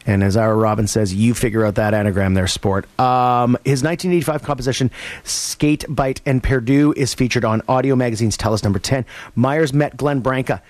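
A man's voice: medium pitch, steady tone, a little fast, no echo. Laid-back male college radio DJ. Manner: laid-back, rambling, many fillers. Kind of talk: casual radio show back-announcing. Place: radio studio.

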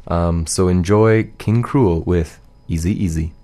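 A woman's voice: very deep voice, a little slow. A woman co-hosting a music show in casual, playful, hesitant chat, often repeating her phrases. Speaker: radio show co-host.

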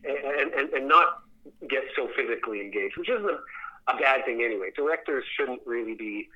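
Muffled, band-limited audio like a radio call carries a man's voice at an average pitch. He speaks conversationally as an interview guest, thinking aloud in rambling answers and doubling back on words as he goes. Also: a little fast. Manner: rambling, thoughtful, repeats words as he searches. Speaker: theater director, interview guest.

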